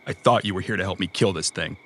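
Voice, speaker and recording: A gruff, reluctant male runner in a cyberpunk radio play. His voice sits low, and he talks in terse, plain, low-key sentences, patient but weary of fuss. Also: fast talker, fairly bright tone, heavily acted. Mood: troubled. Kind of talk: audio drama acting.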